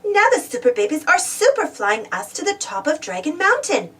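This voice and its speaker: A woman's voice: high-pitched, big pitch swings, moderate pace, fairly bright tone, far from the mic. A woman reading a children's story aloud, exclaiming and putting questions to young listeners. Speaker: storybook reader. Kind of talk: animated children's read-aloud narration.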